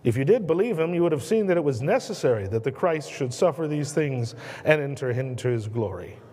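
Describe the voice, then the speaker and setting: American pastor preaching in a reverberant church. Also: male voice, mellow. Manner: earnest preaching, slows and drops low on key words, gestures a lot. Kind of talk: sermon. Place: church sanctuary at a pulpit.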